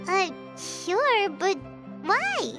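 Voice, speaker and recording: A teeny squeaky fairy girl, super high and bouncy, slow drawn-out talk, loud game music behind. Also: very animated, full character voice. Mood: curious. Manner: squeaky, chatty, speaks of herself by name. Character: tiny floating fairy-like girl companion, excitable.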